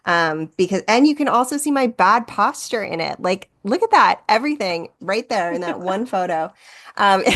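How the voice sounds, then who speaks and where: A woman's voice: mid-range voice, fairly bright tone. A woman in her thirties co-hosting a podcast, telling stories in a chatty, self-deprecating way, full of "like" and "um". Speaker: podcast co-host and wedding photographer. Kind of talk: casual podcast chat.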